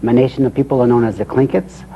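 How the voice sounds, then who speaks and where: A man who works as an interpreter, giving a brisk, formal self-introduction. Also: mid-range voice, steady tone, dark tone, slight background noise. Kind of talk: recorded panel presentation. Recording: dull lo-fi recording, faint ambience.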